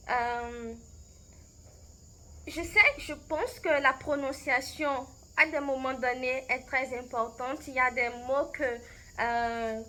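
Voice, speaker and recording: A woman speaking with a Beninese French accent, her voice high and bright. She talks slowly and thoughtfully, often pausing, hesitating and restarting as she explains her ideas, and insects chirp faintly behind her. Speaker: language coach. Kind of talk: answering interview questions to camera.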